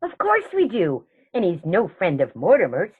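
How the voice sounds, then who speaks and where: A woman's voice: medium pitch, huge pitch swings, normal speed, slightly rough, heavily acted. A woman playing a prim, indignant elderly aunt in a radio play, matter-of-fact and proper. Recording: thin, phone-like sound.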